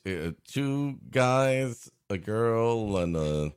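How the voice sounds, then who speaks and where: A man's voice: on the low side, animated, extremely slow, clear, resonant tone. A man co-hosting a comedy podcast in casual banter, wry and playfully teasing. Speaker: podcast co-host.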